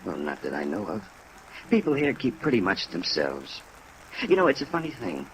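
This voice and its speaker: An actor playing a gossipy, folksy American apartment manager in a 1950s radio drama, chatty and slangy. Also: male voice, sits low, very animated, normal speed. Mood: gossipy, offhand. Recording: old radio recording with rain noise.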